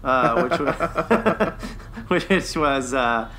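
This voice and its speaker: Bright-voiced enthusiastic man, some background noise. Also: medium pitch, animated, drawn-out words. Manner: informal, enthusiastic, laughs often. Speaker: podcast co-host reviewing a TV series. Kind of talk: casual chat, review discussion.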